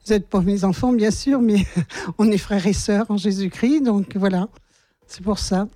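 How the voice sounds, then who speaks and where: A woman's voice: mid-range voice, unhurried, dark tone, no echo. A woman answering an interview about joining a prayer group, in a hesitant, modest way. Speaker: volunteer.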